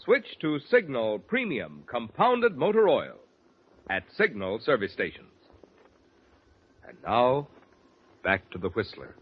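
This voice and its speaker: A man's voice: medium pitch, very animated, slow, long pauses, husky. A man announcing a 1940s radio commercial with folksy, emphatic salesmanship. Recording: dull vintage radio recording.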